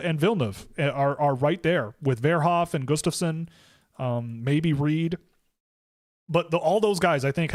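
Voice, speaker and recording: A man's voice: fairly high pitch, dry recording. Chatty man with a dry voice. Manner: rambling and conversational, many fillers and restarts. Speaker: host of a hockey draft livestream. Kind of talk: casual livestream commentary answering chat.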